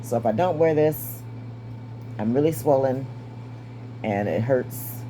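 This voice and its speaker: A woman in her fifties with a raspy, strained voice and short breath, giving a candid personal update. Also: very deep voice, slow, noisy background. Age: 50s. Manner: candid, wry, stays positive. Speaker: vlogger. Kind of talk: casual personal update to camera.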